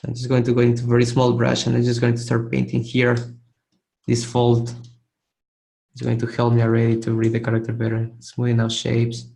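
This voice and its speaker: A man teaching a live online art webinar, hoarse and losing his voice, who keeps clearing his throat and gives casual, encouraging feedback with frequent restarts. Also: mid-range voice, completely flat delivery, brisk, mellow. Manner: casual, encouraging, restarts phrases while demonstrating. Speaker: art instructor giving feedback. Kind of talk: live webinar critique of 3D sculpts.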